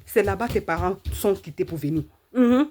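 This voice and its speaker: A woman hosting her channel, giving an emphatic, repetitive opinion monologue full of rhetorical questions and drawn-out "mhm"s. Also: mid-range voice, expressive, a little mellow. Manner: fills pauses with drawn-out "mhm". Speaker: channel host.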